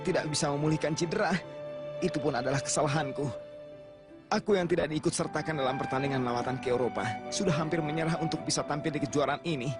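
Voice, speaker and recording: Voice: medium pitch, fast talker, music in the background, natural voice. An actor as an earnest young football player. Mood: solemn, determined. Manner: earnest, resolute. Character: earnest, determined young boy football captain. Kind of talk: dubbed anime drama.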